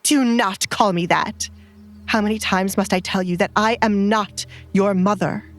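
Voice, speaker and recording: A woman's voice: medium pitch, steady tone, unhurried, soft background music, close to an everyday voice. A woman voicing a haughty, middle-aged noblewoman, a cruel stepmother who scolds with cutting contempt in an audio drama. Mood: scornful anger.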